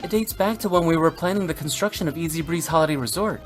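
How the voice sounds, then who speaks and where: A woman playing a composed, businesslike resort manager in a fantasy game, speaking in a measured, explanatory way. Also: low-pitched, soft background music, lightly acted. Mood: serious. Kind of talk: scripted video game dialogue.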